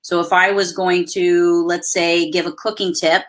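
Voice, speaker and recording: A woman hosting a live webinar over a video call, coaching viewers in an energetic, chatty way with a loud voice that carries. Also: fairly low pitch, unhurried. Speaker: host.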